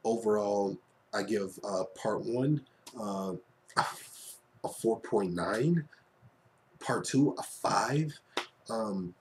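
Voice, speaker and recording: Male online reviewer, lowish voice, informal and gushing, conversational fan delivery with repeated phrases for emphasis. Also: slow pace, pauses often, a little hiss or hum. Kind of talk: casual, enthusiastic fan review to camera or mic.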